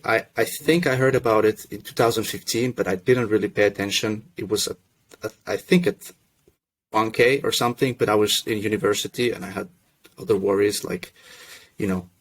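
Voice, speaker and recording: A young man from Russia who grew up in Finland, a podcast guest telling his story in a relaxed, self-deprecating way with many restarts. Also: fairly low pitch, normal speed. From Russia, raised in Finland. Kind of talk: casual podcast chat.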